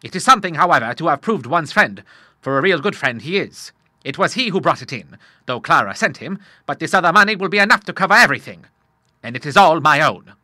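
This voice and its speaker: A man voicing a jovial old retired admiral who speaks in a loud, hearty, booming way. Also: animated, fast, no room sound, full character voice.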